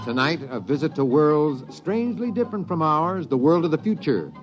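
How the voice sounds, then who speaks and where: A man's voice: a little high, very animated, moderate pace. A man hosting a radio show, giving a scripted 1950s style introduction. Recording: dull vintage radio, loud music. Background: loud music.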